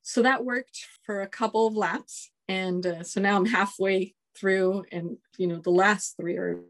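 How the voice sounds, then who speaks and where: A woman in her forties whose first language is Spanish, a colonel telling stories in a casual interview, relaxed and chuckling often. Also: mid-range voice, measured pace, poor audio. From United States. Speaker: guest, Air Force colonel.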